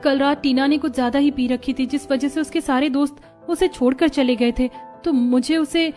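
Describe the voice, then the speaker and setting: A woman's voice: sits high, steady tone, normal speed, darkish tone, soft background music, natural voice. A woman playing a poor, earnest, self-sacrificing young college student in a cartoon story, speaking plainly. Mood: calm, dignified. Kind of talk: Hindi cartoon story dialogue.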